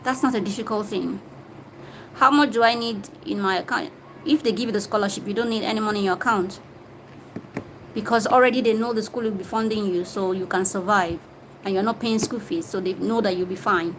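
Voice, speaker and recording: A woman's voice: medium pitch, a little fast, noisy background. A young Nigerian woman with a Nigerian accent, hosting a casual live Q&A, reading out viewer comments and answering them directly. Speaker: livestream host answering viewer questions.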